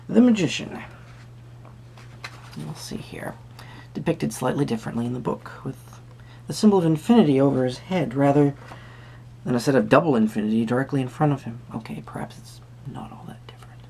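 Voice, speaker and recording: A man playing an eccentric, courtly tarot card reader, speaking softly and politely to the listener with theatrical, rambling musings and murmured asides in a husky, breathy voice. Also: mid-range voice, animated, moderate pace, pauses often, slight background noise. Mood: reading aloud. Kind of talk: soft-spoken tarot reading roleplay to the viewer.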